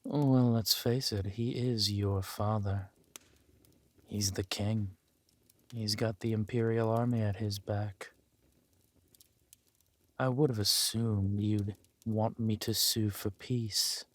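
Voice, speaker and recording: A man playing a ruthless, vengeful warlord in an audio roleplay, speaking deliberately and with menace. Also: on the low side, fairly even intonation, no room sound. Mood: intimate, brooding. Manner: slow, deliberate, menacing delivery. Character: ruthless villain warlord lord, vengeful, possessive lover. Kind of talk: dramatic villain roleplay acting.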